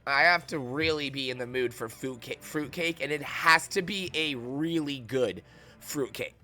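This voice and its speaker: Streamer type: man, New England accent, bright forceful tone, camp and profane. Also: medium pitch, moderate pace. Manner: chatty, profane, playful, camp banter. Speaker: streamer playing a video game. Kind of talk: casual livestream chat with viewers.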